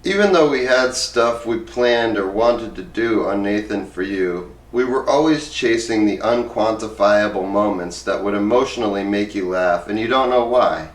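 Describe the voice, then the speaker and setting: A man's voice: lowish voice, normal speed, hardly any pauses. A man reading book excerpts aloud in an informal, plain-spoken way. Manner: informal, plain-spoken, reads both sides of interviews in own voice. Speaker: book reader, presenter. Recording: roomy, echoey, distant microphone.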